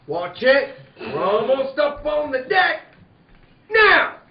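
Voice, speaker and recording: Man acting a heroic radio cowboy, bold, hearty, very high voice, drawn-out pacing, roomy sound. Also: big pitch swings, very noisy, full character voice. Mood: urgent, commanding. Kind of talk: 1940s radio western hero acting. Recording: muffled, echoey room recording.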